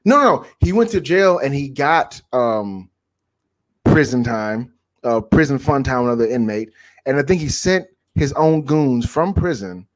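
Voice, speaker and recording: A man's voice: medium pitch, animated, measured pace, low-quality recording. A man chatting casually as a co-host, talking in an emphatic, slangy way. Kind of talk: casual chat.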